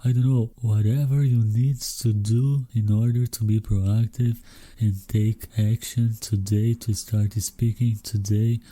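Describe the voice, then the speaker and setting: A man's voice: on the low side, level tone, unhurried, dark tone. A young man from Brazil with a Brazilian Portuguese accent, hosting a solo podcast and speaking encouragingly straight to English learners. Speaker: podcast host.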